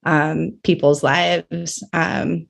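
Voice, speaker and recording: A woman's voice: deep voice, steady tone, very slow, gravelly. A young woman co-hosting a podcast, talking reflectively and casually with many fillers. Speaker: podcast co-host.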